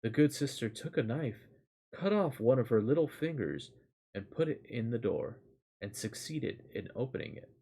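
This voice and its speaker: A man's voice: medium pitch, very animated, moderate pace, gravelly, dark tone, breathy edge. Casual man reading stories and chatting. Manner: casual, rambling, swears lightly. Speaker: storyteller and streamer. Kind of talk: reading a fairy tale aloud, casual livestream chat.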